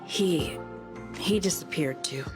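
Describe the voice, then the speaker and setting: A woman's voice: on the low side, takes it slowly. Game role: serious, worried woman security officer, businesslike delivery, music behind. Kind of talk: video game voice acting.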